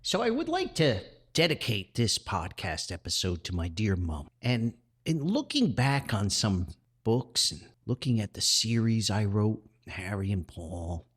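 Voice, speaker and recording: A man's voice: fairly low pitch, wildly swooping pitch, a little slow, slightly rough. A man hosting a podcast in a casual, rambling monologue, folksy and effusive, often exclaiming and digressing. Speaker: podcast host.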